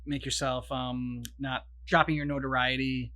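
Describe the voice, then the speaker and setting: Guy doing casual reaction chat, mid pitch, kinda rambles and stops and starts. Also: level tone, normal speed, bright, forceful tone. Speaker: host of game cutscene reaction videos.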